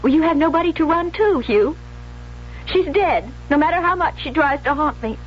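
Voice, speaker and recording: A woman's voice: high-pitched, lively intonation, normal speed, a little hiss or hum. An actress playing a jealous, unstable young woman in a 1970s radio drama, intense and pleading, on a dull vintage recording. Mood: wounded, demanding. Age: young adult. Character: jealous, obsessive, unstable young bride-to-be turned killer.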